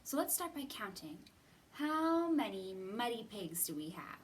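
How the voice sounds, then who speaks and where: A woman hosting a children's storytime, reading and counting in an expressive, sing-song way with drawn-out words. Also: medium pitch, slight background noise. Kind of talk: expressive read-aloud and counting for young children. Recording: somewhat distant from the mic.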